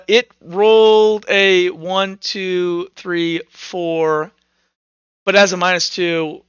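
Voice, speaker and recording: Man, high bright voice, casual tabletop roleplay narration, halting and informal, dry slightly dull recording. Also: clear, resonant tone, no room sound. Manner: halting, informal, thinking aloud. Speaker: tabletop game master or player narrating actions.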